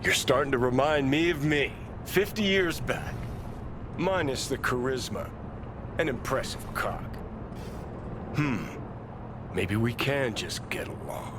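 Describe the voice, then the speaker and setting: A man's voice: mid-range voice, slow pace, long pauses, natural voice. An actor playing a cocky, sardonic older male rebel in a video game, with a swaggering, mocking delivery. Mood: smug, needling. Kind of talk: video game dialogue acting. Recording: vehicle and outdoor noise behind.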